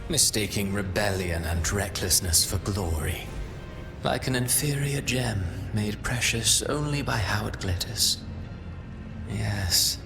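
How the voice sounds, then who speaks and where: An actor playing a brooding, ominous villain in a video game, reciting poetic monologues in a grandiose, deliberate way. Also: male voice, lowish voice, vocal fry.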